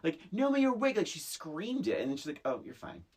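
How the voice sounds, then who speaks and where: A woman's voice: low-pitched, fairly quick, slightly rough. A woman co-hosting a podcast, telling stories about a movie in a blunt, emphatic, casual comedic way. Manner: blunt, emphatic, expressive storytelling. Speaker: podcast co-host.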